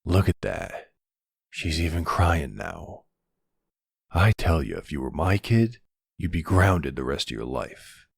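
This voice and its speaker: A man playing a suave middle-aged mafia boss in a boyfriend audio roleplay, gravelly, measured and wry, commanding but capable of tenderness. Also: a bass voice, sing-song, dry recording, studio quality, exaggerated voice. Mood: scolding, threatening. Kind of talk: ASMR boyfriend roleplay acting.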